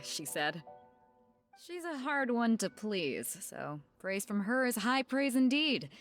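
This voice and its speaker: A woman's voice: mid-range voice, close to an everyday voice. An actress playing a bold, teasing female ship captain in a video game, breezy and colloquial. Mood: appraising.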